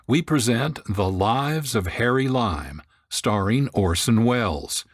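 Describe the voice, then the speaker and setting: A man presents a scripted radio introduction as host. His mid-pitched voice moves with lively intonation, recorded dry and close. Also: no echo. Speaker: host and producer.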